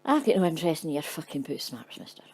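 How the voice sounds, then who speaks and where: A woman's voice: low voice, big pitch swings, fast, darkish tone, breathy edge, heavily acted. A woman voicing a foul-mouthed, grumpy Scottish woman tourist with a Scottish accent. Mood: irritated. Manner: swearing, cross and blunt.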